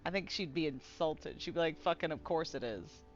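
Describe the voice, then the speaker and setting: A woman streamer giving casual, giggly, excitable and profane live commentary on a game. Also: deep voice, normal speed, muffled recording, soft background music.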